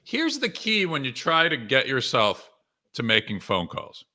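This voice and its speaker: A man's voice: mid-range voice, huge pitch swings, measured pace, pushed and bright. A man working as a sales coach, giving a conversational live talk to viewers in punchy short phrases with frequent rhetorical questions. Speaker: sales coach and author hosting a live webinar.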